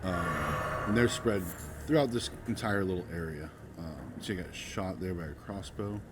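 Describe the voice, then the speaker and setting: A man's voice: low-pitched, normal speed. A man who is sick, giving casual, rambling commentary over his gameplay as a channel host. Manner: laid-back, rambling, many ums. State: sick, voice a bit off. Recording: loud background noise.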